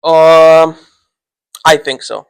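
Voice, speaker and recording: A man whose first language is Spanish, a teacher answering interview questions in a relaxed, rambling way that trails off. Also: a little high, very slow, gravelly, smooth, dry recording.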